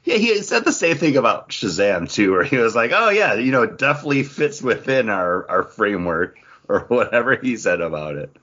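A man hosting a casual podcast, chatting in an animated, joking way with quick asides. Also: mid-range voice, highs cut off. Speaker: podcast host.

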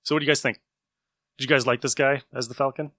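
A man's voice: medium pitch, fairly even intonation, fast, pushed and bright, dry recording, studio quality, faint music behind. A man hosting a podcast, chatting casually and informally about his opinions. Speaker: podcast host. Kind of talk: casual discussion.

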